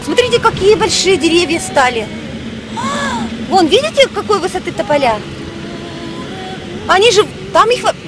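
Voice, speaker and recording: High-voiced nostalgic Russian woman outdoors. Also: poor audio. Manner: nostalgic and expressive, with emphatic exclamations. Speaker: interviewee, actress. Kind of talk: reminiscing documentary interview. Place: outdoors in a residential neighborhood. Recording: background music under the voice.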